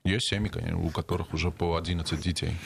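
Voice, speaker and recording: A man's voice: sits low, flat delivery, very fast, vocal fry, a little hiss or hum. A man from Armenia, a member of parliament answering interview questions earnestly and emphatically, often repeating his key phrases.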